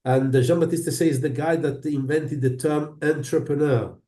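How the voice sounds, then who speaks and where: Italian-accented man, mellow voice. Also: a little high, fairly even intonation. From northern Italy. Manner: measured, many filler uhs. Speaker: guest, business school professor. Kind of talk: video call interview. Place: home in London. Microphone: video call. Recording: echoey room on a video call.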